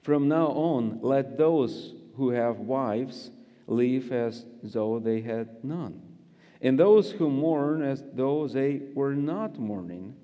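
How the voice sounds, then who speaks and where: Male guest preacher, Russian accent, unhurried, halting delivery, long hall reverb. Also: medium pitch, dark tone, dull audio. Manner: friendly, joking, halting with many fillers. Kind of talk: sermon with scripture reading.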